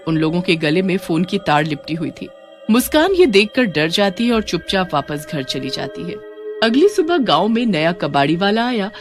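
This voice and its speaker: Animated woman narrating a horror story. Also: fairly low pitch.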